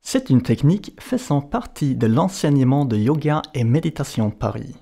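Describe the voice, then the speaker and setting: A man guiding a relaxation as a yoga and meditation teacher, giving measured instructions in short, separated phrases. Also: medium pitch, sing-song, vocal fry, dark tone. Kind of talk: guided relaxation narration.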